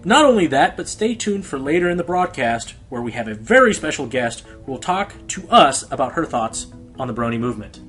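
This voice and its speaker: A man's voice: medium pitch, big pitch swings, fairly quick, fairly bright tone, soft background music. A man acting as an earnest, easily exasperated male pony who hosts a radio show, insistent and theatrical, in a radio play. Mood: showy announcer.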